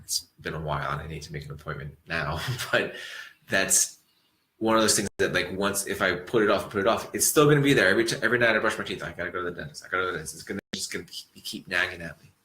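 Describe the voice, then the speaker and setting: A man with a low voice and a bright, forceful edge talks through ideas about mindfulness in a relaxed, meandering way. He often circles back and restarts his sentences. His voice rings in an echoey room. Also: fast talker. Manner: relaxed, rambling, thoughtful with frequent restarts. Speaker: acupuncturist giving a mindfulness talk. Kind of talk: reflective talk to an audience.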